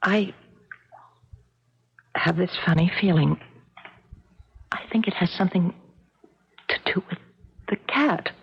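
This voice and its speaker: An actress playing an earnest, dreamy middle-aged American housewife in a 1970s radio drama, speaking hesitantly in hushed phrases with pauses. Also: female voice, on the low side, creaky, husky, full character voice. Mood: hushed awe. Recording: muffled old 1970s radio recording.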